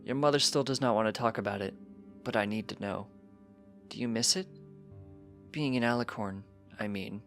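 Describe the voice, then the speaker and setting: Man playing a soft-spoken father pony. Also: on the low side, moderate pace, long pauses, faint music behind. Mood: gentle. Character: gentle, resigned father pony, calm and loving.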